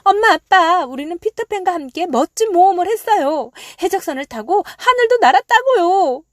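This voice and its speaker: Casting: spirited storybook girl played by a woman, childlike, earnest, raised pitch, emphatic. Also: animated, moderate pace, dry recording, clean studio sound. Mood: excited.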